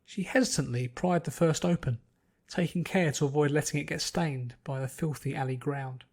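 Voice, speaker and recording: A man's voice: a little high, normal speed, darkish tone. A man reading scripted fantasy fiction aloud as its narrator.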